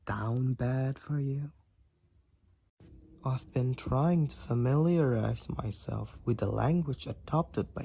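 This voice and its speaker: A man voicing a devoted, protective vampire boyfriend with courtly old-fashioned phrasing and a husky, creaky voice, in an intimate roleplay audio. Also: medium pitch, dark tone, a little hiss or hum. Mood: playful, charming. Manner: archaic, courtly phrasing, tender endearments. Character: devoted, overprotective young-looking male vampire boyfriend, 128 years old.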